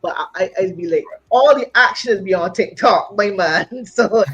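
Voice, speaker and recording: A woman from Barbados, a singer and entrepreneur, talking in an animated, casual chat full of "you know". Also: medium pitch, normal speed, breathy edge, lo-fi sound, soft background music.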